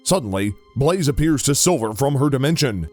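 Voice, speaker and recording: A man's voice: medium pitch, expressive, fairly quick, a little mellow, breathy edge, faint music behind. A man reading scripted narration for an audio drama as its narrator.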